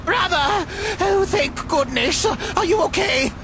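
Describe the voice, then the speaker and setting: High, creaky young skeleton brother. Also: female voice, lively intonation, slow, over music, exaggerated voice. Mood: alarmed, relieved. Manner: exclamatory, fretful. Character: loud, anxious, scolding young skeleton brother. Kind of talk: cartoonish comic dub acting.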